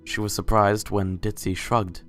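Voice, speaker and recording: A man serves as narrator for a dramatic story reading. His voice is low, and faint music plays beneath the scripted narration. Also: level tone, unhurried, a bit bright.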